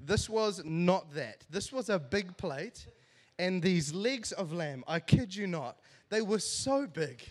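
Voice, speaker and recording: A man in his thirties with a New Zealand accent, giving a lively, joking church talk to a congregation. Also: high voice, slow pace. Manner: animated, joking, self-deprecating. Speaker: church speaker. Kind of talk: humorous sermon storytelling to a congregation.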